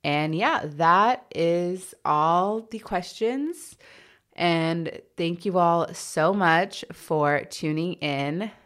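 A woman's voice: on the low side, very slow, fairly bright tone. A woman who stutters, hosting a podcast and answering listener questions in a candid, reflective way, with blocks and repeated sounds. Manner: candid and reflective, with many fillers. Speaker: podcast host.

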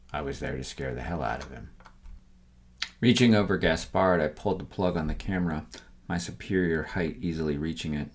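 A man reading a scripted first-person crime story as the narrator of an audio fiction serial. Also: a bass voice.

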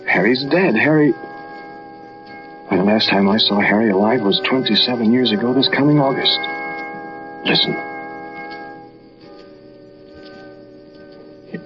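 An actor playing a haunted man who narrates an eerie tale in a 1940s radio drama, with slow, dramatic, deliberate delivery. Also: mid-range voice, dull audio, natural voice. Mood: startled, uneasy. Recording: band-limited 1940s radio recording, music behind.

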